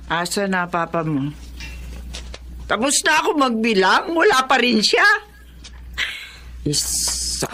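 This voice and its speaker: A woman's voice: mid-range voice, big pitch swings, bright, forceful tone, slight background noise, exaggerated voice. A woman voicing a grief-broken mother in a radio drama who wails in despair and counts numbers in a daze. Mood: dazed. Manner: slow, wailing, then counting numbers in a daze.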